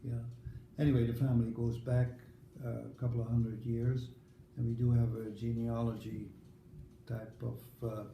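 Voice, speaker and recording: A man in his eighties from the Netherlands with a Dutch accent, recalling his life in a casual family interview, halting with many fillers. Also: mid-range voice, level tone, creaky, reverberant, dull audio, a little hiss or hum. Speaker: grandfather being interviewed.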